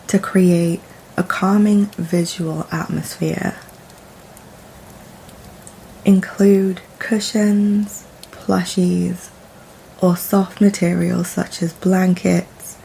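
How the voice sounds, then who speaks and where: A woman leading a guided meditation in a soft, soothing, deliberate manner with long pauses between phrases. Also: lowish voice, vocal fry, a little hiss or hum, low-quality recording.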